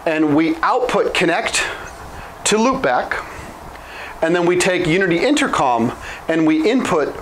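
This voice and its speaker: A man's voice: fairly high pitch, slight background noise, rough recording. A man around his late thirties giving an informal, step-by-step technical explanation as an instructor. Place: small indoor office room with whiteboard. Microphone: lapel mic.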